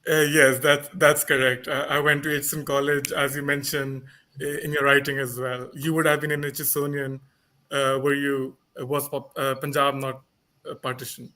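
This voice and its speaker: A man's voice: medium pitch, level tone, normal speed, sharp tone. A man hosting an online series, welcoming guests formally with the occasional stammer. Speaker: host. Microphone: video call.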